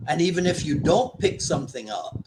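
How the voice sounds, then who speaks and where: A man's voice: on the high side, animated, fairly quick, noisy background. A man from the Caribbean, a martial arts instructor talking in a casual discussion, thoughtful and full of restarts. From Trinidad, raised in Barbados. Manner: thoughtful, restarts often, stresses key points.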